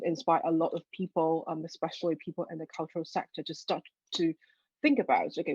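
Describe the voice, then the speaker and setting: Low, husky Hong Kong woman moderator. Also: a little mellow, dry recording. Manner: halting, searching for words. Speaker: panel moderator, journalist. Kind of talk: moderating a panel discussion. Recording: dry, slightly dull lo-fi audio.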